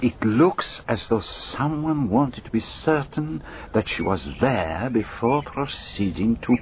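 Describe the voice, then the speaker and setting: An actor playing a shrewd, methodical foreign detective in a radio drama, deliberate and courteous, musing aloud in rhetorical questions. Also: male voice, mid-range voice. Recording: dull radio recording, clearly noisy background.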